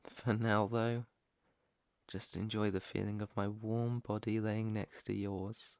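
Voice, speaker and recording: Man playing a slow-talking gentle werewolf, slightly gravelly. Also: fairly low pitch, flat delivery, no room sound, clean studio sound. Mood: sleepy, affectionate. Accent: British. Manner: soft, tender, unhurried, reassuring. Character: protective, gentle, clueless male alpha werewolf. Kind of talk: intimate ASMR roleplay. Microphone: close microphone, dry.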